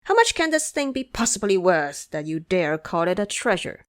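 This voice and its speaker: A woman's voice: medium pitch, very animated, moderate pace, lightly acted. A woman playing a haughty, hot-tempered young crown prince in an audio drama, speaking in an imperious, formal way. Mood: scornful.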